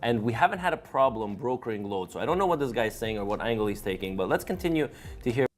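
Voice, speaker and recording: A man who owns a trucking company, explaining his side in a measured, methodical way. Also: medium pitch, animated, a little hiss or hum. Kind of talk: explaining to camera.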